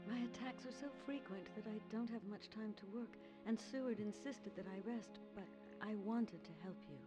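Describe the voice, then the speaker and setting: A woman playing a troubled but brave young gentlewoman in a video game, earnest and proper. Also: mid-range voice, normal speed, over music, lightly acted. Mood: earnest, worried. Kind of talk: scripted video game drama.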